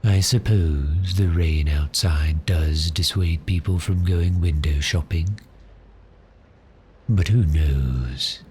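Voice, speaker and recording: A man playing a cheerful, courteous vampire posing as a customer, speaking politely and gently in an ASMR roleplay. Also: rumbling low, slight background noise. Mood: musing, pleasant. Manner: polite, unhurried, softly genteel with measured pauses.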